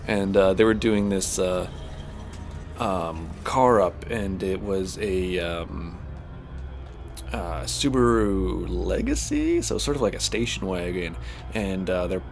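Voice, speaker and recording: A fairly low-voiced man chats loosely over a game session, his speech full of "like" and "um" as he wanders between thoughts. His voice sounds a little stuffed up, and soft music plays underneath. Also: big pitch swings, slow, gravelly. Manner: rambling, heavy on fillers and restarts. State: slightly stuffed-up voice while recovering from illness. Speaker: gaming channel host. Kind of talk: casual chat while playing a space game.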